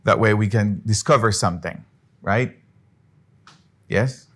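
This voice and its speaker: A man's voice: fairly low pitch, unhurried, fairly bright tone. A middle-aged man from Colombia giving an earnest business talk to an audience, often restarting his phrases. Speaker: business speaker. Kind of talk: presentation to an audience.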